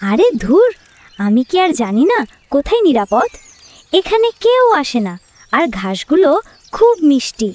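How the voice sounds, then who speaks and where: A woman plays an innocent little she-goat in a cartoon. The voice is high and lively, with a breezy, trusting, cheerful manner. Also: a little slow, pauses often, no room sound. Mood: carefree. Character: innocent, cheerful young female goat, carefree. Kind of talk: children's cartoon story dialogue.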